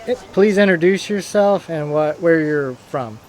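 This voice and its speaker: A man interviewing riders on the spot in an excitable, cheering way. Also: fairly high pitch, a little slow.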